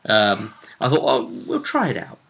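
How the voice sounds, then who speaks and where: A man co-hosting a casual recap of a tabletop game as game master, telling it in a measured storyteller's way with pauses. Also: lowish voice, huge pitch swings, creaky, fairly bright tone.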